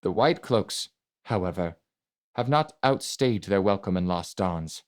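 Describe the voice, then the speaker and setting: Male narrator as impassioned young fantasy prince, lowish voice, deliberate commanding oratory, audiobook drama. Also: animated, smooth. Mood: resolute. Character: bold, passionate young male prince rallying nobles. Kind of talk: dramatic audiobook character reading.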